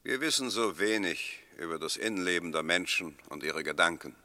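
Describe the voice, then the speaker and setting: A professor on the witness stand in an old German radio play. His deep, very sharp-edged voice rings in a long reverb. He answers formally, with curt assurance. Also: animated, normal speed, exaggerated voice. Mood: self-assured. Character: male professor, self-assured expert witness in court. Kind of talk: 1960s German radio drama acting, testimony. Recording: echoey courtroom-like hall with long reverb.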